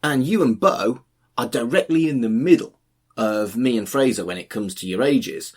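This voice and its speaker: A man hosting a podcast interview, asking questions in a relaxed conversational way and swearing bluntly now and then. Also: mid-range voice, normal speed, slightly rough. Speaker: podcast host, humanist celebrant. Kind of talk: casual interview.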